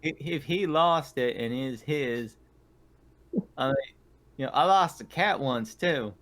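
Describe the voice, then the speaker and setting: A man with a medium-pitched voice plays a tabletop roleplaying game. He banters in character and casually describes actions. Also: animated, measured pace, a bit bright.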